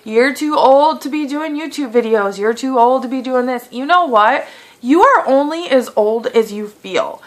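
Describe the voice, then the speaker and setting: A woman's voice: high voice, normal speed. A woman in her late twenties chatting through a casual beauty Q&A vlog, full of fillers, with a lingering cough. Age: 20s. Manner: chatty, lots of fillers, animated quoting. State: lingering cough, clearing throat. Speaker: beauty vlogger.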